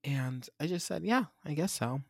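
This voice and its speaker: A man's voice: a little high, lively intonation, moderate pace, vocal fry, no room sound, clean studio sound. A man, hosting a solo podcast and reflecting in a candid, rambling, self-searching way. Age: 20s. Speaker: podcast host.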